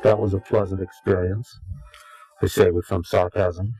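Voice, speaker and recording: A man's voice: low-pitched, level tone, moderate pace, warm tone, faint music behind. A man answering interview questions formally in an indignant, sarcastic and wordy way, his voice slightly unnatural from digital processing. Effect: voice changer removed, slightly unnatural. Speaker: interview guest, anonymous whistleblower.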